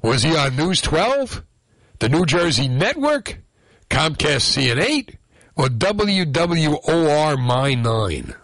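Lively, higher-voiced male radio host. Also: slow pace, dry recording. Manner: upbeat, teasing banter with callers. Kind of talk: call-in radio trivia game. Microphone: studio microphone.

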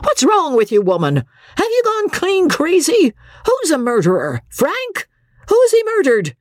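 A woman voicing an enraged middle-aged man in a squeaky falsetto. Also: moderate pace, no echo, clean studio sound, lightly acted. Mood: angry.

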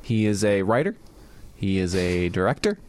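A man's voice: sits low, sing-song, moderate pace, darkish tone, smooth. A man hosting a radio talk show about film in a studio, joking and laughing with his guests in casual chat. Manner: jokey, friendly, often laughing.

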